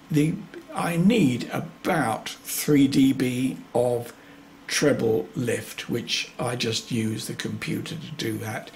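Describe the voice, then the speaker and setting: A man narrates an informal hands-on demonstration at a slow pace. His voice is creaky and mid-pitched, and his manner is matter-of-fact and a little hesitant. There is faint noise behind him. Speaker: hobbyist repairer presenting own video.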